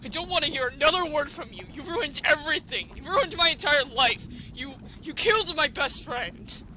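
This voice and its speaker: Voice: high-pitched, expressive, normal speed, sharp tone, exaggerated voice, poor audio. A performer in an audio drama playing a bitter, vengeful young human who accuses with cold intensity. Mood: furious, grieving. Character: Frisk, furious vengeful young human protagonist turning cold.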